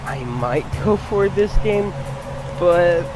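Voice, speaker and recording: A man's voice: sits high, lively intonation, slow. A man giving casual let's play commentary as the host of a gameplay video. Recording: game music loud behind voice. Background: video game music and vehicle sounds.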